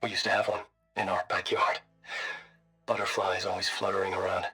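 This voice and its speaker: An actor playing an informal, nostalgic plant lover in a video game audio log, reminiscing in casual, clipped sentences. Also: male voice, deep voice, level tone, a little fast, sharp tone, husky, faint music behind, full character voice.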